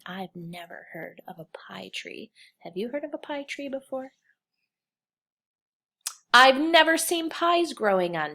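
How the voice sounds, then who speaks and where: A woman's voice: lowish voice, creaky, fairly bright tone. A woman reading a children's picture book aloud as a kindergarten teacher, expressively, with simple phrasing and questions put to young listeners.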